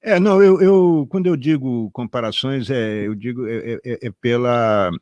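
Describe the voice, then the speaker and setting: A man with a Brazilian Portuguese accent hosting a conversational interview, phrasing his points haltingly. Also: medium pitch, darkish tone, no room sound, highs cut off. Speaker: interview host.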